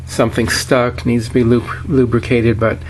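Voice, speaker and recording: A man's voice: mid-range voice, level tone, moderate pace, a little hiss or hum, low-quality recording. A man giving a casual, plainspoken product walkthrough in a matter-of-fact way, like a seller showing an item. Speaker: seller demonstrating a vintage radio.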